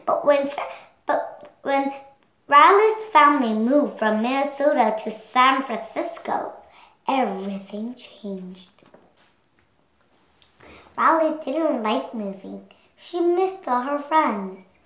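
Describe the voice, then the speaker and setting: A seven-year-old girl reading a storybook aloud in a careful, halting way. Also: high-pitched, expressive, fairly bright tone, roomy sound. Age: child, 7 years old. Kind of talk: read-along storybook narration.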